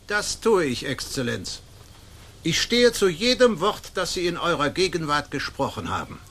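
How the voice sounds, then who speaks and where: Stern male aristocrat for radio drama: declamatory, bright, slightly raised voice. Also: a little high, sing-song, normal speed, exaggerated voice. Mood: resolute. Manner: emphatic, declamatory. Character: stern, honourable nobleman, an influential father. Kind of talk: German radio drama acting.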